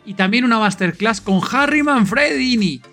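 A man's voice: very high-pitched, animated, moderate pace, sharp tone, soft background music. A man with an accent from Spain hosting a podcast in an informal, enthusiastic, chatty way. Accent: Spanish from Spain. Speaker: podcast host. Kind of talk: casual podcast announcements and chat.

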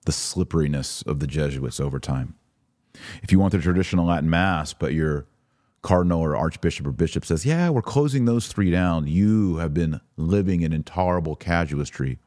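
Male podcast host, low voice in a dry close recording, emphatic and sarcastic commentary. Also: brisk, darkish tone, no room sound. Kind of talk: commentary with document read aloud.

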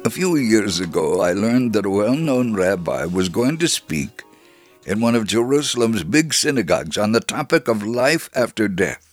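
A man's voice: on the low side, very animated, normal speed, faint music behind. A man voicing an older male believer who recounts his memories in a dramatic first-person reading, with a reflective, storytelling manner.

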